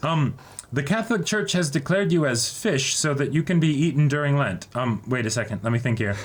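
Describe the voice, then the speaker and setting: A man co-hosting a casual unboxing video, chatting and reading aloud in a halting, conversational way. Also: fairly high pitch, expressive. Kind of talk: casual banter, some reading aloud from a letter. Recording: noisy room, background ambience.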